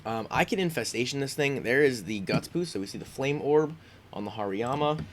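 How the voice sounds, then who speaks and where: A man's voice: medium pitch, expressive, a little fast, background noise. A congested man giving casual commentary on his own game, thinking aloud with frequent ums and restarts. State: congested, sniffling. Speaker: gaming YouTuber.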